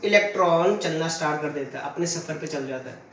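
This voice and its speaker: Man, hoarse, physics teacher, high pitch, echoey room heard from a distance, faint hiss. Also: brisk, a bit bright. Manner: asks questions and answers them himself; uses everyday stories as examples. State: sore throat. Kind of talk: classroom lecture.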